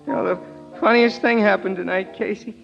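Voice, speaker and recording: An actor playing a drunken, giggling ex-convict in an old radio crime drama, rambling and repeating himself in slurred speech. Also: male voice, sits high, gravelly, a bit bright, full character voice. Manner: rambling, repetitive, stubborn. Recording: muffled old radio recording with music.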